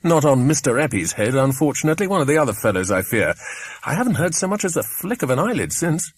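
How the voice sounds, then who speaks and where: A man voicing a brisk, hearty, commanding English man in an audiobook. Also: fairly high pitch, very animated, no room sound, pitched above the performer's own voice. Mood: wry. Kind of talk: audiobook character voice.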